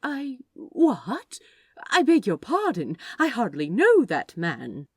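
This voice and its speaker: A woman's voice: a little high, huge pitch swings, slow, slightly rough, dry recording, clean studio sound, voice pushed up, exaggerated voice. A woman voicing a flustered, prim and polite male receptionist who stammers and apologises in an audiobook-style story. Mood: indignant.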